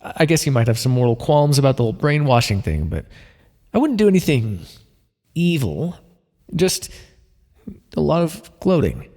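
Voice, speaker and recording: A man playing a smug, playful supervillain mind controller in an ASMR roleplay, coaxing the listener with theatrical, drawn-out words. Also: fairly high pitch, sing-song, dark tone. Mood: scheming, gleeful. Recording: long reverberant echo.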